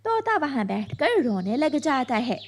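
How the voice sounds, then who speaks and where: Female narrator for children's tales: high voice, lively intonation, faint noise behind. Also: measured pace. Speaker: story narrator. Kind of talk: scripted children's story narration.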